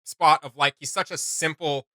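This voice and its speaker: A man chatting on a reaction channel, excited and rambling, full of likes and ums. His voice has a bright edge. It is recorded dry and close. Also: a little high, moderate pace, dry recording. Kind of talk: casual reaction chat.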